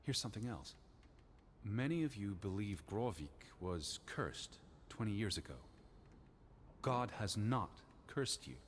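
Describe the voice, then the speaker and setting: An actor playing a stern, learned 1920s American naturalist in a video game, earnest and skeptical, speaking precisely and formally. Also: male voice, fairly low pitch, animated, a little slow, slight background noise. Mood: grave, thoughtful.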